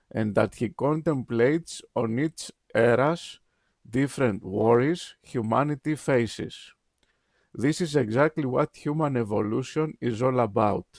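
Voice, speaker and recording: A man whose first language is Greek, reading his written game review aloud in a slow, deliberate, emphatic way with many pauses. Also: mid-range voice, gravelly, no echo, studio quality.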